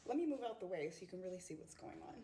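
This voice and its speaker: A woman's voice: lowish voice, animated, very fast, mellow. A woman from the United States telling a chatty story time, acting out bits of dialogue. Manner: conversational, acts out dialogue.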